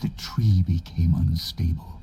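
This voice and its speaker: Slow, gravelly ancient forest guardian. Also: male voice, sits low, fairly even intonation, warm tone, heavily acted. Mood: mournful, grave. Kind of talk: fantasy game voice acting.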